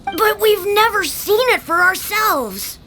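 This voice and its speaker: A woman's voice: very high-pitched, animated, slow, bright, forceful tone, a little hiss or hum, exaggerated voice. A woman voicing an eager, trusting little child with a small voice, in an anime game style. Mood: hesitant, obedient. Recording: music behind the voice.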